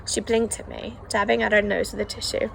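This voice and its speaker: A woman's voice: medium pitch, fairly even intonation, a little fast, creaky, slight background noise, rough recording. A woman reading a children's novel aloud as the first-person narrator, telling the story expressively. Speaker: audiobook reader.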